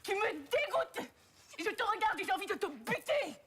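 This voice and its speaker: An actress playing a furious woman who screams threats at a man, her voice shrill and half-laughing with rage. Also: sing-song, moderate pace, a little hiss or hum. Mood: enraged. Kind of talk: film scene.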